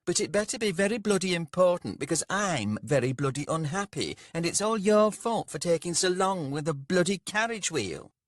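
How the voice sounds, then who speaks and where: A man's voice: high voice, animated, normal speed, hardly any pauses, no room sound, clean studio sound, pitched above the performer's own voice. A man voicing a plump, pompous merchant, cowardly and whiny, with a wavery, nasal, almost feminine voice. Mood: petulant.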